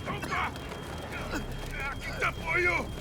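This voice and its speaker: High voiced mexican army colonel, urgent n commanding, game scene w loud vehicle noise behind. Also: male voice, slow pace. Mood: urgent shouting. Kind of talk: video game acting. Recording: noisy outdoor scene with vehicles.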